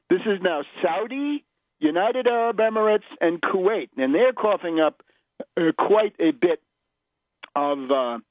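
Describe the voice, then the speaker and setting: A male radio host giving unscripted political commentary in an emphatic, opinionated manner, stressing his phrases deliberately. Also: fairly high pitch, dry recording, phone-quality sound.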